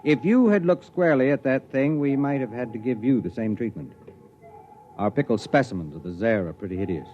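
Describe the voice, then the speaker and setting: An actor playing a weary, pragmatic spaceship commander in a 1950s radio drama, matter-of-fact and wry. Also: male voice, medium pitch, animated, fairly quick, soft background music, natural voice. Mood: brisk, matter-of-fact. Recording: dull, noisy vintage radio recording.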